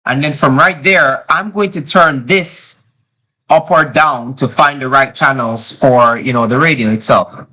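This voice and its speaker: A man talking casually to camera as he reviews gear at home, explaining haltingly and repeating phrases for emphasis. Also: medium pitch, bright, forceful tone, poor audio. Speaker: product reviewer. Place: child's bedroom at home.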